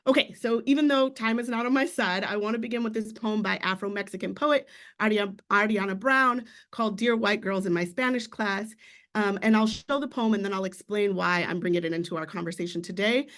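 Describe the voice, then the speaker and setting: An academic presenter giving a conference talk in a measured, thoughtful way, with frequent fillers. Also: mid-range voice, steady tone, fairly bright tone.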